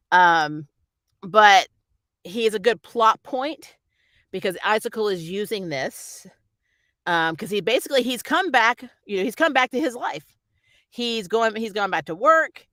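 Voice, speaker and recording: A reviewer with a bright, forward voice at a middling pitch. The delivery is casual, slangy and opinionated, rambling along with mild exasperation. The sound is dry, with almost no room in it. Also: no echo. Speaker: YouTube TV series reviewer.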